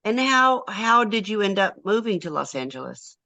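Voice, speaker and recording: A woman hosting an interview, effusive and a little halting as she asks questions. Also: mid-range voice, big pitch swings, bright, forceful tone. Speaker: host, senior editor.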